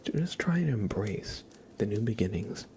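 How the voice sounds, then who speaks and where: Hushed low-voiced man doing soft ASMR. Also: big pitch swings, normal speed, slight background noise. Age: young adult. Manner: gentle, hushed, half-whispered. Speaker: ASMR livestreamer. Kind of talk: soft-spoken ASMR, casual chat with viewers.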